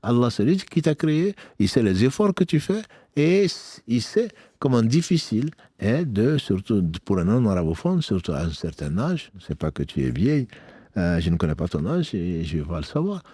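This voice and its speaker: Lively low-voiced man answering a caller. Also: normal speed, husky. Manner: familiar, addresses listener as tu, repeats key phrases. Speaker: imam answering listeners' questions. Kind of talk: live radio question-and-answer on religious practice.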